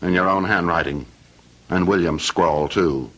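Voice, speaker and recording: Cold blackmailing heir in a radio mystery: very low, forceful voice, mocking and deliberate. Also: male voice, dull audio. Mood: coldly insistent. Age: 30s. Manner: menacing, mocking, theatrically deliberate. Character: cold, scheming, blackmailing heir in his late thirties. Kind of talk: old-time radio drama acting.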